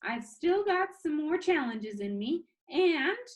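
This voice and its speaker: Woman acting a high, animated, joking teenage boy. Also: slow pace, dull audio, heavily acted. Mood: cheerful, teasing. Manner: playful, teasing, dramatic. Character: dying teenage boy making joking farewell videos.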